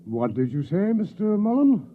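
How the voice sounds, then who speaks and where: An actor playing a stuffy, indignant older colonel who splutters and huffs, in 1950s radio drama style. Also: male voice, sits high, big pitch swings, moderate pace. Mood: gruff, doubtful. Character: blustering, indignant older colonel, old militiaman. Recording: tinny, noisy 1950s radio recording.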